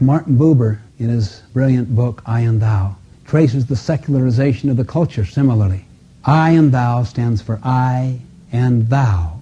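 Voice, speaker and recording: A man with a very dark, mellow and husky voice delivers a motivational self-help lecture. He speaks deliberately and emphatically, using rhetorical questions and repeated phrasing. The recording is muffled and dull. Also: medium pitch. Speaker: lecturer on personal effectiveness.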